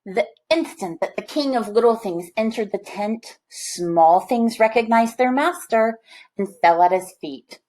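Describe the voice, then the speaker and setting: Slow-paced woman reading a storybook. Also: a little high, rough recording. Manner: expressive, addressing young listeners. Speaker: storybook reader. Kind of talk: animated read-aloud of a children's picture book with chatty asides.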